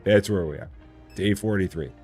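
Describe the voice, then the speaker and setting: A man's voice: deep voice, normal speed, darkish tone, faint music behind. A man with a Midwest Illinois accent chatting casually during a live game stream, repeating himself and teasing playfully. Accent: General American, Midwest Illinois. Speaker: gaming streamer. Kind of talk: casual live-stream chat while playing.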